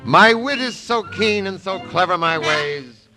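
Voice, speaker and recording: A vain clown of a father in a vintage radio operetta. His high voice bounces widely through self-satisfied wisecracks. Music sits clearly behind the old broadcast. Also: animated, measured pace. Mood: boastful. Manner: self-satisfied joking patter. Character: boastful wisecracking long-absent father, breezy vain clown. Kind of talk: 1940s radio operetta acting.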